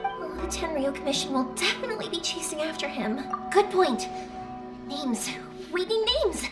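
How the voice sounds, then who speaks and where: An actress voicing an earnest, caring, energetic young woman in a video game, expressive in delivery. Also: high voice, normal speed, distant mic, over loud music. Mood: worried. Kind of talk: English video game voice acting.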